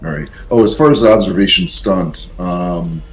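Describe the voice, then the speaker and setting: A man's voice: deep voice, normal speed, a bit bright, faint music behind, low-quality recording. A man playing a tabletop role-playing game, talking casually as he states actions and checks rules.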